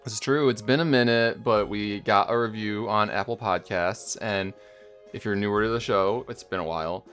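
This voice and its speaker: Guy podcast cohost, kinda low voice, informal jokey gamer talk. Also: moderate pace, fairly bright tone, soft background music. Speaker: podcast co-host. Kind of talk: casual chat about a video game.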